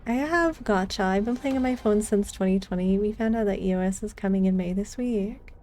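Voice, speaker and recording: A woman streaming a game and chatting casually, unhurried and rambling as she thinks aloud. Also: mid-range voice, darkish tone, slight background noise. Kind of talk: casual livestream chat over gameplay.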